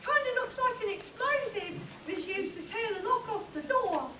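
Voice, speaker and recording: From far off in a noisy, echoing room comes a woman acting an excitable sidekick in a pulp radio spoof. The voice is extremely high, full of folksy exclamations and comic wonder. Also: moderate pace. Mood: puzzled, folksy. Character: Monk, excitable comic male sidekick of an adventurer. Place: convention stage before an audience. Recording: roomy, distant, very noisy live convention hall.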